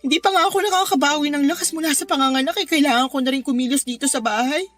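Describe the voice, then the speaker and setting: A woman's voice: sits high, racing, a bit bright, soft background music. An actress in a radio drama playing a tired, tearful young mother who complains plaintively about her hard life. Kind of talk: Tagalog radio drama acting.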